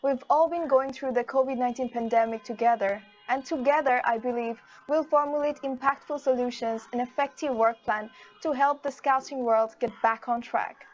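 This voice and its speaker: A woman's voice: high voice, steady tone, moderate pace. A woman from Bangladesh giving an upbeat, eager recorded video message. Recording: slightly dull sound with faint music.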